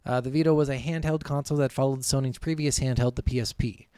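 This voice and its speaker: A man's voice: mid-range voice, fairly even intonation, quick pace, warm tone, smooth, no echo, studio quality. Blunt, sweary man podcasting about games. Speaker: podcast co-host. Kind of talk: casual gaming podcast chat with some reading from notes.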